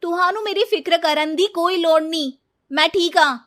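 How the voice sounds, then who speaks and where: Woman acting a high, headstrong young Punjabi bride. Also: normal speed, fairly bright tone. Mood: defiant.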